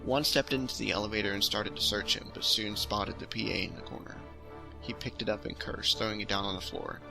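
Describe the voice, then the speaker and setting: A man's voice: on the low side, steady tone, a little fast, creaky, rough recording. A man hosting a storytelling series, reading his own science fiction story aloud in a casual, amateur way. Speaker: storytelling host. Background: music under the voice.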